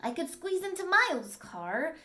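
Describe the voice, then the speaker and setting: A woman voicing an eager young boy in a read-aloud storybook, bright and cheerful. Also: extremely high pitch, sing-song, normal speed, pitched above the performer's own voice, full character voice.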